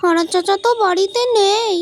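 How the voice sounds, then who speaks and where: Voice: extremely high pitch, brisk. A performer voicing a motherly village aunt in a cartoon, guarded and explanatory. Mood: concerned. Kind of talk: cartoon voice acting, dramatic dialogue.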